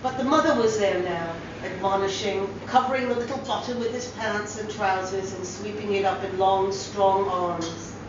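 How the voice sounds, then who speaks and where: A woman's voice: mid-range voice, fairly even intonation, normal speed, few pauses. A woman giving a dramatic reading of a short story as scripted narration. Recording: roomy echo, distant mic, dull hissy sound.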